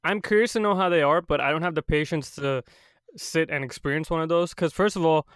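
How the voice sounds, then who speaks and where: A young man co-hosting a comedy podcast, blunt and teasing as he makes his points. His voice is a bit high for a man and smooth and resonant. The sound is dry and close. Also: fairly quick, fairly bright tone, no room sound. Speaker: podcast co-host. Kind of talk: casual chat.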